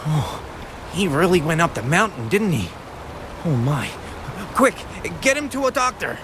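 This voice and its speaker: A man playing a gossipy, concerned townsman in a video game, talking in a casual, expressive way. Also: fairly high pitch, measured pace, background noise. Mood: alarmed.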